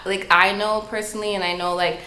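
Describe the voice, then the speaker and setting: A woman hosting a casual interview, chatty, with lots of "like" and quick "mm-hmm"s. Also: medium pitch, little pitch movement, sharp tone. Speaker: interviewer host. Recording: echoey room.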